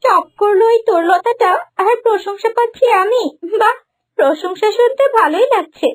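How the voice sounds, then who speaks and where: A woman voicing a scheming impostor girl in a cartoon, with a high childlike voice who mutters sly asides. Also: moderate pace. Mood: gloating. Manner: sly asides, then haughty shrill scolding. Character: scheming impostor girl posing as sweet Torulota. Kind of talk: Bengali cartoon dubbing.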